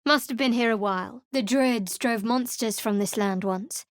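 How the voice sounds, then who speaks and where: Woman voicing a dry sardonic cleric, a bit high, super dry studio sound. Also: normal speed, dry recording, close to an everyday voice. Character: guarded, sardonic young female cleric. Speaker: game character voice actor. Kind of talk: video game voice lines. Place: dry recording booth. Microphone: close studio microphone.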